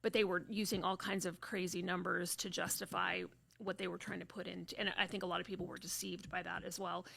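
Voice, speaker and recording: A woman's voice: lowish voice, flat delivery, fairly quick, vocal fry, pushed and bright. A woman hosting a podcast, chatting casually and rambling a little, with restarts and fillers. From Arizona. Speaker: podcast host. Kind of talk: casual interview chat.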